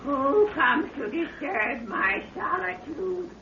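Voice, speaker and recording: A woman playing an eerie, hostile old hermit woman in a 1930s radio drama, with a drawn-out wailing voice and archaic, challenging speech. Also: high-pitched, big pitch swings, echoey room, exaggerated voice. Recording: muffled, noisy 1930s radio recording, distant.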